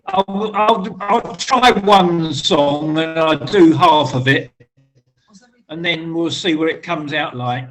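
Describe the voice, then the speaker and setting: A man's voice: on the high side, slow pace, a bit bright, soft background music, rough recording. A man from England chatting casually on a group video call from a hotel room. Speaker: participant in an online song circle.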